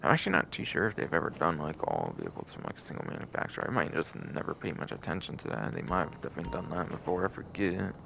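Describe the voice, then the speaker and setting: A man's voice: low voice, wildly swooping pitch, rapid-fire, vocal fry, few pauses, a bit bright, slight background noise, rough recording. A man hosting a game livestream with casual commentary, rambling and often repeating and second-guessing himself.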